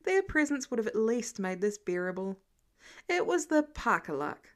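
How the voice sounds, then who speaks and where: Casting: female fiction reader, slightly high-pitched, scripted story narration. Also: very animated, normal speed. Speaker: podfic reader.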